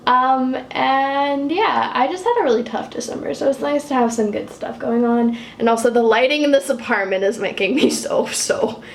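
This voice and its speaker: A young woman with a low, husky voice chatting casually while doing her makeup, self-mocking and swearing offhandedly. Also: moderate pace, few pauses, echoey room, a little hiss or hum. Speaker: YouTuber doing a makeup tutorial. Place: apartment room.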